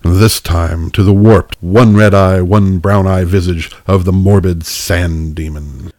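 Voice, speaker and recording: A man's voice: sits low, steady tone, slow pace, a little mellow, no room sound, clean studio sound. A man giving scripted narration for a radio play.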